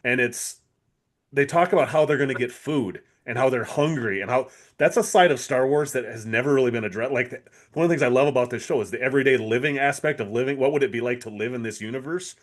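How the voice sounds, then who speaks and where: A man with a medium-pitched voice chats as a podcast co-host. He is eager and informal, rambling along and often restarting his thoughts. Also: fast. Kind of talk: casual fan chat about TV.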